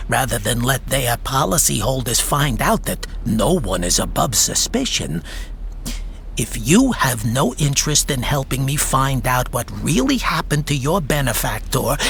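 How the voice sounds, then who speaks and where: A man's voice: medium pitch, vocal fry, a bit bright, slight background noise, full character voice. Sly, swooping male private eye. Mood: mock-polite, calculating. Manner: wordy, mock-polite, insinuating. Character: sly, talkative male private insurance investigator. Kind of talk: radio drama acting.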